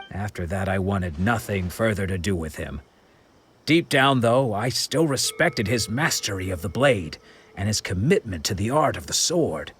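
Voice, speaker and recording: A man voicing a middle-aged former sword disciple who tells his past in a measured, reflective way, with soft music behind. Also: fairly low pitch. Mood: rueful. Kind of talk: video game voice acting.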